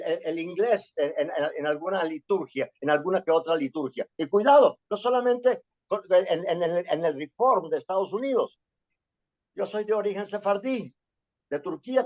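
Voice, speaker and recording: A man from Venezuela giving a history lecture, often checking in with listeners and repeating himself as he searches for words. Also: high-pitched. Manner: frequent questioning "¿sí?" and repetitions. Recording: dull lo-fi sound.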